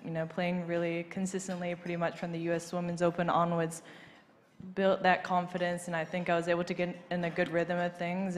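A young woman in her twenties talking casually on stage into a handheld mic, relaxed, with lots of fillers and light laughs. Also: fairly low pitch. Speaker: golfer being interviewed on stage. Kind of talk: casual interview. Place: large banquet hall stage. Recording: reverberant hall, faint noise.

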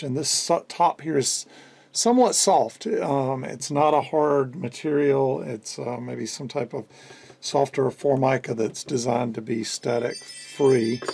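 A man in his fifties giving a casual hands-on demonstration at a workbench indoors, explaining in a halting way as he thinks aloud. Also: on the high side, gravelly, darkish tone. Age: 50s. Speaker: electronics restorer and presenter.

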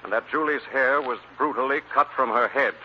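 A man's voice: mid-range voice, fairly quick, natural voice. An actor playing a suave young man of wealth who questions people pointedly, in old-time radio drama style. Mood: probing, controlled. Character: suave, probing wealthy young male amateur sleuth. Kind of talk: 1940s radio drama acting. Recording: muffled, noisy old radio recording.

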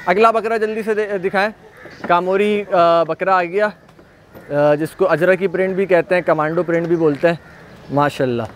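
A man's voice: sits high, moderate pace, slight background noise. A man hosting an on-site walkthrough of livestock, chatting casually and repeating praise often. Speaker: livestock video host. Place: outdoor goat pen at a farm.

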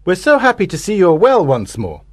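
A woman's voice: fairly low pitch, huge pitch swings, fairly quick, dry recording, clean studio sound. A woman voicing an eager male servant in a storybook, polite and deferential. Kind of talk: storybook reading.

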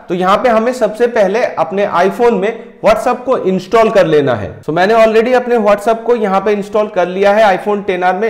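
A man in his thirties walking viewers through a software tutorial step by step, speaking directly to them. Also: high voice, reverberant. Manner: steady step-by-step explaining, addresses viewers directly. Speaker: tech tutorial host. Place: indoor room, screen-recording overlay.

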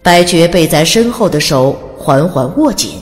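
A woman's voice: deep voice, animated, moderate pace. A woman reading scripted story narration in a dramatic audiobook style. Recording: long reverb, faint background noise.

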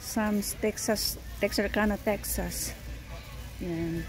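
A woman's voice: medium pitch, steady tone, takes it slowly, low-quality recording. A woman from the Philippines with a Filipino accent, chatting casually as a shopping vlogger in a large store. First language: Tagalog. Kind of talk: casual shopping vlog chat. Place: large warehouse store, indoors. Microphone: handheld phone camera, held by the speaker.

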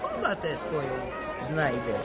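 A woman's voice: sits low, unhurried, over loud music, heavily acted. Earthy, wry village mother, swooping intonation, old radio drama over crowd noise. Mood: wry, disapproving. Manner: rustic, wry, animated. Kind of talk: Polish radio serial acting.

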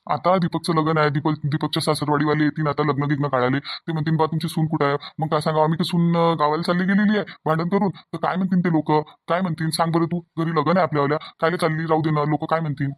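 Man playing a fast-talking, pleading Varhadi family peacemaker. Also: on the high side, little pitch movement, close to an everyday voice. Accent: Varhadi Marathi. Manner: coaxing, reasoning, repeats phrases. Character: pleading, conciliatory grown man in a quarrelling family. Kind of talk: cartoon family drama.

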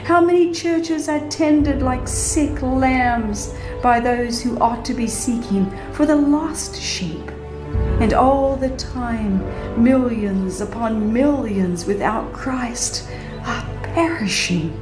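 A woman's voice: a little high, takes it slowly. Woman narrating in a roomy echo. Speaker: audiobook narrator. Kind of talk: dramatized reading of a religious book. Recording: roomy reverb, faint background music. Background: faint music.

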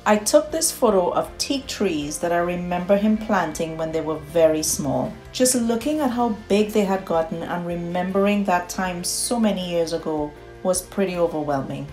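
A woman from Trinidad and Tobago with a Trinidadian accent, calmly narrating her own DIY home decor project in a scripted voice-over. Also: lowish voice, moderate pace, few pauses, faint music behind. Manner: calm, personal and explanatory.

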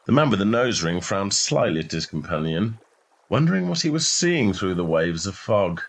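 A man with a low-pitched voice reads literary fiction as a storyteller. His delivery is scripted and measured, with expressive phrasing throughout. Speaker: short story narrator.

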